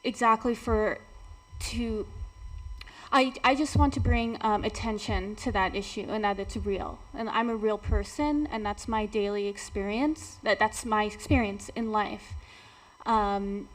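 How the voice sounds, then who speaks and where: A woman in her thirties testifies at a podium microphone in a council chamber. She speaks slowly and haltingly, with a mid-range voice and many pauses and filler sounds. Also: medium pitch, low-quality recording. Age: 30s. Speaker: public commenter. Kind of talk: public testimony at a council meeting.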